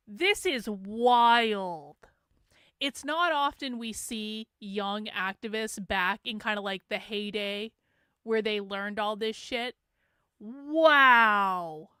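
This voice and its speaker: A woman's voice: medium pitch, slow, bright, forceful tone, clean tone, no room sound, studio quality. A middle-aged woman with a Vermont accent touched with Boston, hosting a live stream and reacting bluntly to a documentary. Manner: blunt, swearing, incredulous. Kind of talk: casual reaction commentary.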